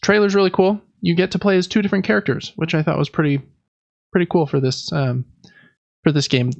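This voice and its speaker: Guy doing casual podcast hosting, gravelly fry in his voice, dry slightly muffled sound. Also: on the high side, steady tone, fairly quick, dry recording. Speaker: podcast host. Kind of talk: casual video game news talk.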